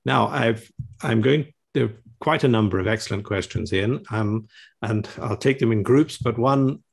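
A man in his sixties with a British accent, interviewing a guest on a video call and posing long, polite questions with measured "um" pauses. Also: medium pitch, gravelly, slightly rough. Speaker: interviewer, society chair. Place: home study with bookshelves, indoors.

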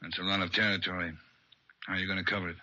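An actor playing a gruff American police chief in an old radio crime drama, brisk, skeptical and authoritative. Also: male voice, sits low, little pitch movement, sharp tone, exaggerated voice. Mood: doubtful, commanding. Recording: dull vintage radio recording.

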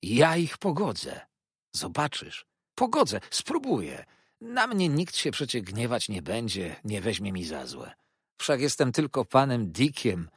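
A man voicing a kindly, simple-minded old eccentric who talks in an earnest, confiding and excited way. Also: lowish voice, measured pace, husky, no echo, studio quality, full character voice. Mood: excited, eager.

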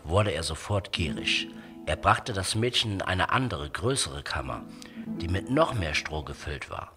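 Low voiced guy reading a kids fairy tale, super expressive, music behind him. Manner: dramatic, expressive. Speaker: storyteller. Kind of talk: scripted fairy-tale narration for children.

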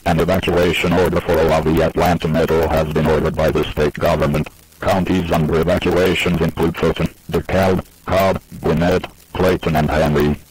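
A man's voice: very deep voice, little pitch movement, moderate pace, noisy background. A man reading a scripted emergency alert in an impersonal, formulaic way.

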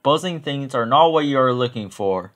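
A man's voice: mid-range voice, animated, moderate pace, fairly bright tone, lightly acted. A man voicing a casual, laid-back frog in a children's storybook.

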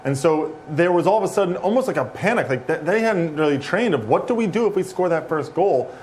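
A man's voice: a little high, a little hiss or hum. A man in his thirties standing at a tactics screen in a studio, explaining soccer tactics with gestures on a lapel mic. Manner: quick and animated, gestures while standing. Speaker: tactics analyst. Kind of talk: tactical breakdown.

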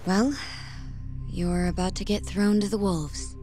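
An actress playing a cool, guarded prosecutor in a video game, with a composed, dry, formal delivery. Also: female voice, mid-range voice, fairly even intonation, unhurried, warm tone, soft background music, close to an everyday voice. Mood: ominous, dry. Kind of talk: English game voice acting.

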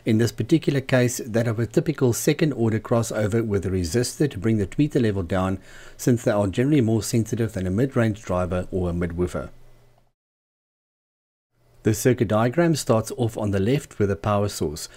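A man narrating a scripted how-to tutorial as the channel's host, in a measured, explanatory manner. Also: fairly low pitch, dark tone. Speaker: host of a DIY loudspeaker channel.

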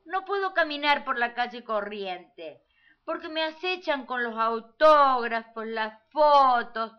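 Woman playing a vain, slow-talking showgirl diva. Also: high voice, expressive, smooth. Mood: boastful. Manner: theatrical, condescending. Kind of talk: parody radio play. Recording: dull lo-fi audio.

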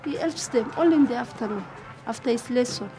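An actress playing a Somali mother who quietly fears for her son, speaking careful, earnest English. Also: fairly high pitch, brisk, music in the background, close to an everyday voice. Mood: worried. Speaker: mother in the family.

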